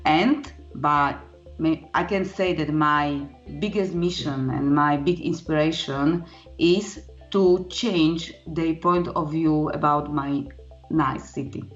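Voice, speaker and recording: A woman from Slovakia with a Slovak accent, a guest talking earnestly in halting English with many fillers. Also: low voice, lo-fi sound, faint music behind. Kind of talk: casual interview chat.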